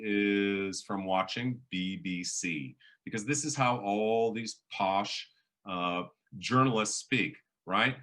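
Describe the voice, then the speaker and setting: A middle-aged male professor with a big, booming voice and a forceful, bright edge. He teaches in an animated way, dropping to near whispers and pushing back up. His voice sits on the lower side. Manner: animated, varies volume and pace for emphasis. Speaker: professor leading a public speaking workshop. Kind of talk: lively workshop lecture.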